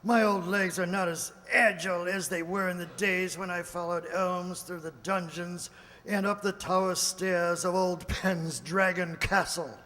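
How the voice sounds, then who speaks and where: A man's voice: high-pitched, steady tone, measured pace, sharp tone. A man playing a genial elderly English doctor in an amateur radio play, chatty, courteous and fond of reminiscing. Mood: genial, chuckling. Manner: chatty, old-fashioned, courteous. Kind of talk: amateur old-time radio play acting. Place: live stage in a hall. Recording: reverberant hall stage recording.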